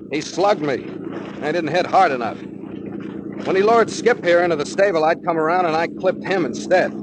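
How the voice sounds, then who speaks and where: An actor playing a steady, shrewd sea captain, terse and matter-of-fact, in 1940s radio adventure style. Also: male voice, a little high, moderate pace, long pauses, lightly acted. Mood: recounting. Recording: noisy old 1940s radio recording.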